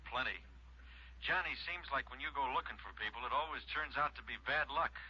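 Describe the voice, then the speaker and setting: An American actor playing a grim deputy sheriff on the phone in a 1950s radio drama. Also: male voice, mid-range voice, brisk, sharp tone, slightly rough. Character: grim male deputy sheriff phoning in bad news. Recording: dull old radio recording of phone call.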